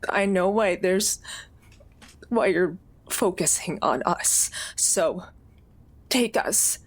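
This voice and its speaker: A woman plays a shaken, compassionate peacemaker in a tabletop fantasy game. The voice is low and husky, with slow, halting pleas. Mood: pleading, shaken. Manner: halting, pleading.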